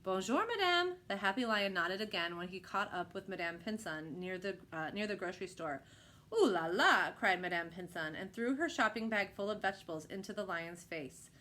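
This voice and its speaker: A woman's voice: lowish voice, a little fast, hardly any pauses, sharp tone. Woman reading a picture book aloud. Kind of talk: expressive children's read-aloud narration.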